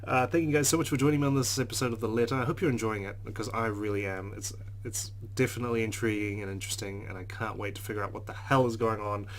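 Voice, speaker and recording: A man's voice: on the low side, fairly even intonation, fast talker, hardly any pauses, slight background noise. A man hosting a let's play, reading a visual novel's text aloud dramatically and adding his own commentary.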